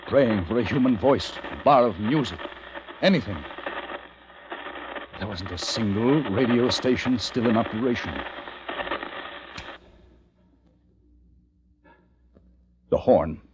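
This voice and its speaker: An actor playing a desperate, haunted plague survivor, narrating in a halting, theatrical old-time radio style. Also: male voice, on the low side, noisy background, close to an everyday voice. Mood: tense, anxious. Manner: intense, halting, theatrical delivery. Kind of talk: dramatic first-person radio narration. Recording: muffled vintage radio, music underneath.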